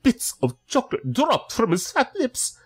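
Man playing a husky, swooping, formal foreign spellcaster. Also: very high-pitched, wildly swooping pitch, measured pace, full character voice. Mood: indignant. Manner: formal, precise wording. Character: proud, aggrieved immigrant male spellcaster, formal and polite. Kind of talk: audio drama acting.

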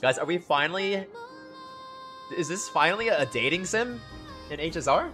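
A man's voice: fairly high pitch, expressive, normal speed, pushed and bright. A young man reacting live to a game as a streamer, chatting casually in gushing, slangy talk. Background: faint game music.

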